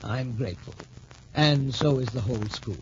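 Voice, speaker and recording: An actor playing a middle-aged American major who heads a military academy, formal and emphatic, in 1940s radio drama style. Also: male voice, medium pitch, normal speed, lightly acted. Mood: pleased, admiring. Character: stern but appreciative major heading a boys' military academy. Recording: muffled, noisy 1940s radio recording.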